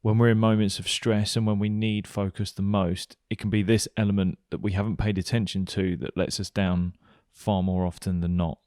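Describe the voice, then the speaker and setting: A man leading a guided meditation, giving gentle, unhurried instructions with pauses. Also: on the low side, little pitch movement, no room sound, clean studio sound. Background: music.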